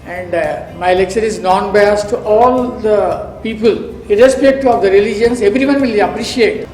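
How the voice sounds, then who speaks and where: A man's voice: sits high, a little mellow. Man lecturing in an echoey hall. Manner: deliberate, repetitive, many pauses and fillers. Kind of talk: formal lecture. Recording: echoey hall, distant mic, faint music.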